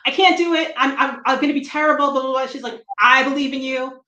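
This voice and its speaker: A woman's voice: sits high, fast, sharp tone, dull audio. Chatty woman with a light Brooklyn accent. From New Jersey. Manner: chatty, self-deprecating, laughs often. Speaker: guest comic writer. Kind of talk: casual interview chat. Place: room at home. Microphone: video call. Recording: roomy echo on a video call.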